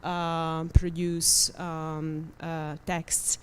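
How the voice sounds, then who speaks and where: A woman's voice: low-pitched, flat delivery, very slow, a little hiss or hum. Hesitant woman panelist. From Hungary. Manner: hesitant, many ums and uhs, restarts. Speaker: panelist, media literacy educator. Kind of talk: panel discussion.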